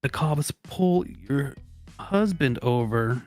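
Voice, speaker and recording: A man's voice: medium pitch, animated, slow, darkish tone, faint music behind. A man hosting a comedy advice segment, chatting and reading aloud in a blunt, profane, mock-serious way. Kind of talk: casual, joking group chat and reading aloud.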